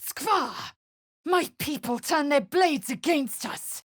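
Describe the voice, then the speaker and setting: A woman playing a fierce, proud alien warrior in a video game, speaking in a haughty, clipped, formal manner with a husky edge. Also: high voice, expressive, slow pace, no echo, clean studio sound. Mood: fierce, angry. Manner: imperious, clipped, formal phrasing. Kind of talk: video game character acting.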